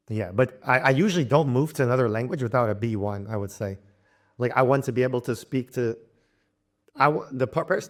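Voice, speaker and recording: A young man from Louisiana, an interview guest talking casually about travel and language learning, relaxed and halting, with frequent restarts and light laughs. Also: medium pitch. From Louisiana, United States.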